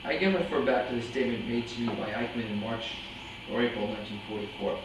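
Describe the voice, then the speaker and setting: A man's voice: mid-range voice, fast, lightly acted. A man playing an unrepentant former Nazi commandant under questioning in a staged reading, formal and matter-of-fact. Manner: formal, clipped, bureaucratic. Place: indoor room at a live staged reading, distant mic. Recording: very echoey, noisy room, distant mic.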